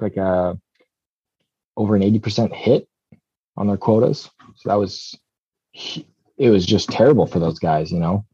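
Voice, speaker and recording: A man's voice: lowish voice, warm tone, no echo, lo-fi sound. A man from Southeast Alaska, a commercial fisherman chatting casually as a podcast guest, rambling with many ums and restarts.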